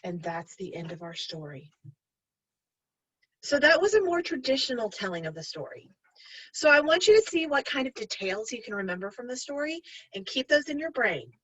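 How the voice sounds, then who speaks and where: Animated, husky woman reading a storybook. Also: a little high, moderate pace, dry recording, rough recording. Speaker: teacher reading a story to pupils. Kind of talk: expressive storybook read-aloud.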